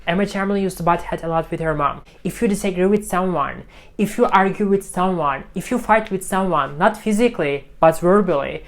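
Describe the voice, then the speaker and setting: An English speaker talks through his learning routine in a relaxed vlog. He restates each point two or three ways as he works out how to say it, and his tone stays friendly and unhurried. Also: high-pitched. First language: Turkish. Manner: repeats and rephrases himself while explaining. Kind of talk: casual teaching vlog.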